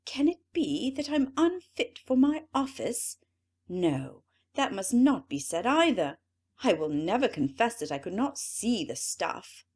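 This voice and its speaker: A woman's voice: a little high, very animated, measured pace, a little mellow, pitched above the performer's own voice. A woman reading aloud, voicing a worried old minister fretting to himself in a storybook. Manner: fretful, worried inner talk. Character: anxious old male minister.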